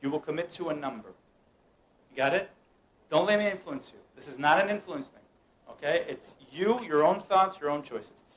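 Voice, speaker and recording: A man's voice: on the high side, normal speed, slight background noise, rough recording. Playful young man running a mind-reading act. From United States. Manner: playful, teasing, directs audience. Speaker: mentalist performer. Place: room at a home gathering.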